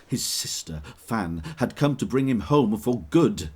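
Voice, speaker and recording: A man's voice: fairly low pitch, expressive, a little slow, background noise. A man narrating a Victorian ghost tale as a solemn, dramatic storyteller.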